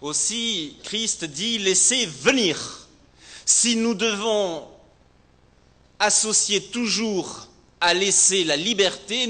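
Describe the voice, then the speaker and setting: A man preaching a sermon as a pastor, emphatic and rhetorical, with deliberate repetition and pauses. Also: high-pitched, sharp tone. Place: large reverberant hall, speaking to a congregation. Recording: echoey hall, long reverb, some background noise.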